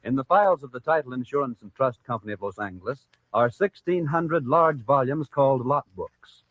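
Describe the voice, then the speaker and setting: A man with an American accent reading a sponsor message as announcer, formal and measured, in 1940s radio style. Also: medium pitch, slight background noise. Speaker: program announcer and sponsor spokesman. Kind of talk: 1940s radio scripted commercial narration. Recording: tinny, dry vintage radio recording.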